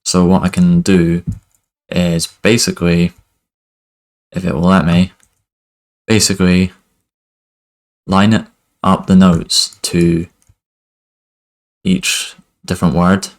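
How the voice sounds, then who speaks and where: A young man giving a relaxed music production tutorial at his desk, talking loosely with lots of fillers. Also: low voice, slow pace, long pauses. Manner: relaxed, many fillers like "kind of" and "basically". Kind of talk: casual screen-recorded tutorial.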